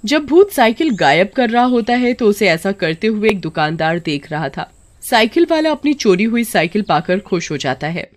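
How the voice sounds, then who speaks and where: A woman narrating a scripted cartoon story in a steady storytelling manner. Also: mid-range voice, normal speed.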